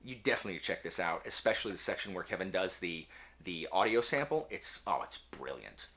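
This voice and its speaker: A man talking casually to camera as a book channel host, emphatic and animated, with a self-deprecating way of speaking. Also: sits low, a little fast. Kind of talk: casual talk to camera, answering book tag questions.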